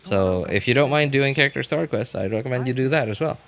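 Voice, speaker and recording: A man doing casual live gameplay commentary as a streamer, chatting to viewers in a halting way and muttering to himself as he plays. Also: medium pitch, gravelly, fairly bright tone. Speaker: game livestreamer.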